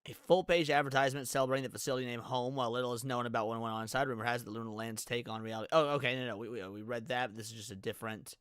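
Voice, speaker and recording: Male streamer, fast and bright, casual reaction host who reads aloud and trips over words now and then. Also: mid-range voice, fairly even intonation, smooth, no echo, studio quality. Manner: chatty, reads text aloud, stumbles and restarts.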